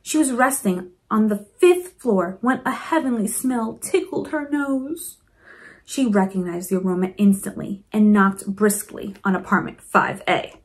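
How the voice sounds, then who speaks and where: A woman reading a storybook aloud to children in an expressive way, with chatty asides to her young listeners. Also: mid-range voice, unhurried. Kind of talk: animated children's read-aloud.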